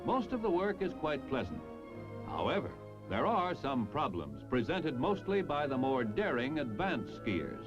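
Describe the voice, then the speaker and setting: A man's voice: medium pitch, very animated, measured pace, muffled recording. An American man narrating a mid-century military newsreel in a jaunty, polished announcer's delivery over music on an old film soundtrack. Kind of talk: 1950s-60s military newsreel narration, scripted.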